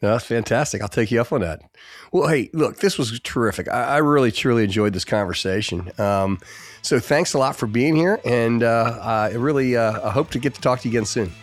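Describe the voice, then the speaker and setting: A man's voice: mid-range voice, brisk. A man hosting an interview, asking loosely worded questions and affirming often. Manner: rambling questions, frequent affirmations. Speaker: podcast host.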